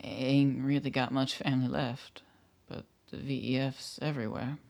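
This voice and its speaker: A man's voice: mid-range voice, level tone, moderate pace, creaky, darkish tone, lightly acted. Man playing an earnest, nervous young Texan. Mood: subdued, earnest. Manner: hesitant, earnest, deferential. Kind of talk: radio drama acting.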